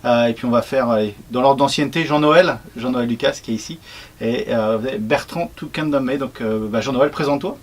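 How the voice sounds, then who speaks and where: A man talks casually, presenting his radio team and the station's past. He pauses often to search for words. His voice sits in a middle register. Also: mid-range voice. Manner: relaxed, hesitant with many fillers. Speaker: radio program head.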